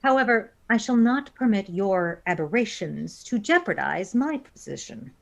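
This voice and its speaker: A woman's voice: mid-range voice, animated, gravelly. A woman playing a cold, logical female alien first officer in a science fiction audio drama, speaking formally and precisely. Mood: coolly threatening. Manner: formal, measured, precisely worded.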